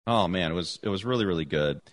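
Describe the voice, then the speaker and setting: Deep-voiced effusive male podcast host. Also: fast. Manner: chatty, effusive, repeats himself. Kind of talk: casual interview chat.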